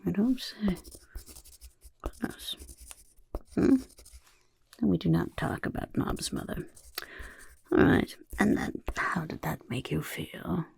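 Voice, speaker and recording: A woman voicing a scheming little goblin overlord who interviews recruits in a soft-spoken ASMR roleplay, whispering smugly. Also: lowish voice, animated, slow pace, long pauses, full character voice. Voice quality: gravelly, breathy whisper. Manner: whispery, smug, mischievous questioning. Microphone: close ASMR mic.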